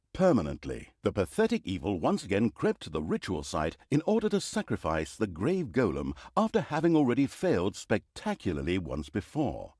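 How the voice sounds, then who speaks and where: An actor playing a pompous, mocking narrator of an evil fantasy video game, with theatrical storybook delivery and dry sarcasm. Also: male voice, lowish voice, darkish tone, dry recording, full character voice. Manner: arch, dryly sarcastic, dramatic emphasis.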